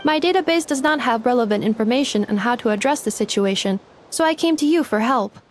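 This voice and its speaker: A woman's voice: a little high, expressive, brisk, slight background noise, natural voice. A woman voicing a polite, literal-minded robot girl in a video game, formal and precise in her delivery. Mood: polite, composed. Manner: formal, precise, matter-of-fact.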